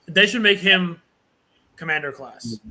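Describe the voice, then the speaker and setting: Bright, slightly high male host, eager and chatty, a bit halting. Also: animated, poor audio. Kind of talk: casual chat.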